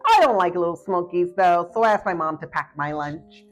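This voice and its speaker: A woman's voice: on the low side, normal speed, natural voice. A woman voicing an eager, talkative young schoolgirl who blurts out facts in a children's picture book read-aloud. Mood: chattering. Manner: excitable, blurting, hesitant ums. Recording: muffled audio with faint music behind.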